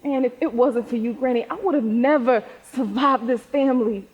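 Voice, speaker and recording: A woman playing a grieving, vulnerable young student devoted to her grandmother, in a stage play, with a husky voice. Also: a little high, normal speed, darkish tone. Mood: grieving, tender. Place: theater stage in a reverberant hall. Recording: muffled echoey stage hall recording.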